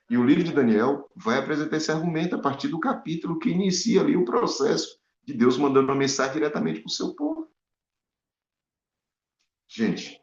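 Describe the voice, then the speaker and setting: A man preaching a Bible study sermon, animated and full of rhetorical questions to his listeners. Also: mid-range voice. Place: in Spain. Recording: echoey, dull lo-fi room sound.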